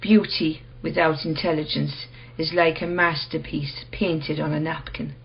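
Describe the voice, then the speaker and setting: Actress as a calm reserved gallery lady in a radio play, lowish voice, measured, slightly muffled recording. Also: creaky. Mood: gently probing. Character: reserved, probing woman art gallery owner, quietly self-possessed.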